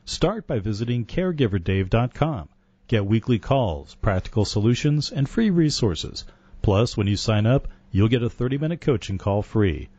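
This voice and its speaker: Male promo announcer, lowish voice, emphatic listing, dry dull sound. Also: animated, moderate pace, mellow, no room sound. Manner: emphatic, list-like promo delivery. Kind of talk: scripted promo read.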